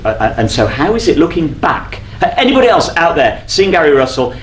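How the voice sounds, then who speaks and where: A man's voice: on the high side, big pitch swings, fairly quick. A man hosting a live on-stage podcast interview, chatting in a jokey, teasing, bantering way. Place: on stage at a convention, live audience. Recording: roomy live hall, faint background noise.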